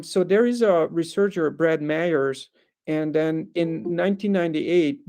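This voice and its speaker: A man in his thirties from Hungary, giving an online lecture over a video call, halting with many ums and likes. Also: on the high side, vocal fry, a little mellow. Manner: hesitant, with frequent ums, uhs and likes. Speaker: guest speaker.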